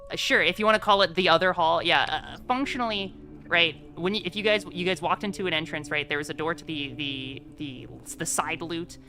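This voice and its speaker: A woman's voice: on the low side, a little fast, bright, forceful tone. A woman casually explaining things as game master of a tabletop game, often checking that players follow. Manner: frequent check-in tags.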